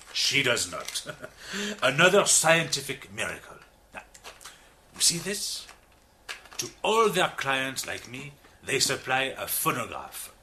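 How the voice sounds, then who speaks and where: An actor playing a big, expansive, reformed French criminal in a radio drama, with a French accent and an effusive, theatrical manner. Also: male voice, medium pitch, sharp tone, slight background noise, exaggerated voice. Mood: enthusiastic. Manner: effusive, theatrical, with hesitant asides.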